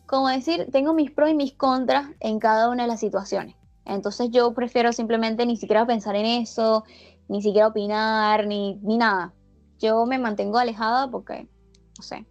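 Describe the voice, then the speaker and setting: A woman's voice: on the high side, fairly even intonation, normal speed, a little mellow, highs cut off, faint music behind. A woman from Venezuela with a Venezuelan accent that carries a slight Argentine lilt, chatting casually as an interviewee and rambling through many restarts and fillers. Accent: Venezuelan Spanish with a slight Argentine lilt. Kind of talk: casual chat.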